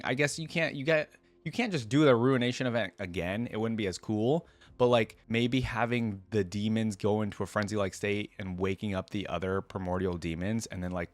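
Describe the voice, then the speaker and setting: Low-voiced man, dry close sound. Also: no echo. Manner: musing, wondering aloud. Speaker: reaction video host. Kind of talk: casual reading aloud with commentary.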